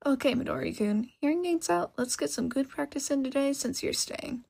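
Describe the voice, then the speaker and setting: A woman voicing a caring young bear-eared girl with a gentle, friendly manner in an audio story. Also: sits high, a little fast, gravelly, pitched above the performer's own voice. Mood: encouraging.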